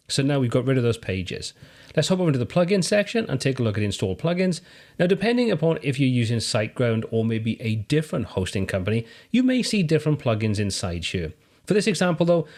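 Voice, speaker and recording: A man from the UK hosting a screen-recorded tutorial, calmly walking through software steps in a friendly, explanatory way. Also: medium pitch. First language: English. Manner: friendly step-by-step explaining, lively intonation. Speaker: tutorial host.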